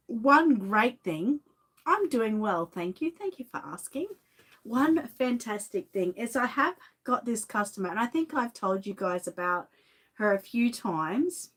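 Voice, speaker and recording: An Australian woman with a lingering cough chatting casually on a livestream, greeting viewers by name from her home studio. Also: on the high side. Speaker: reborn doll artist and shop owner.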